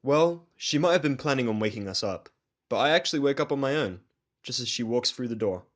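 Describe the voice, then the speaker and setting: A man's voice: medium pitch, animated, brisk. A man reading a first-person fan fiction story as narrator, with a blunt, sardonic delivery.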